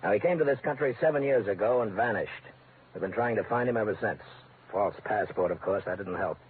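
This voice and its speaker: An actor playing a heavy-set, tough American FBI agent in a vintage radio crime drama, speaking in a blunt, businesslike way. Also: male voice, on the low side, lightly acted. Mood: brisk explaining. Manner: brisk, blunt, matter-of-fact. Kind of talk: 1940s-50s radio crime drama acting. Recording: narrow-band vintage radio, faint noise.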